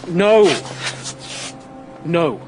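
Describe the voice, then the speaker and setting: A man's voice: on the high side, expressive, drawn-out words, music in the background. An actor playing a troubled, guarded young churchman, earnest and agitated, in a radio drama. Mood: agitated refusal. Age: young adult. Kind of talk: first-person dramatic narration and dialogue.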